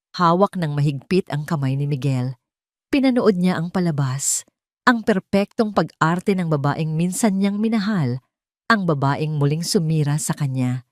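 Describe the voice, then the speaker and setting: A woman's voice: on the low side, darkish tone, no room sound, studio quality. A woman reading a scripted story as a dramatic narrator.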